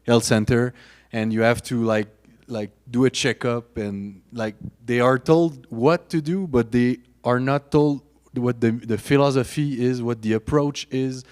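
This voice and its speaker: Smooth-voiced man, native French speaker. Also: mid-range voice, fairly even intonation, unhurried, darkish tone. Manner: light, self-mocking, laughs between points. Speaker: graduate student panelist. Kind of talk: spontaneous panel answer. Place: conference room at a panel session.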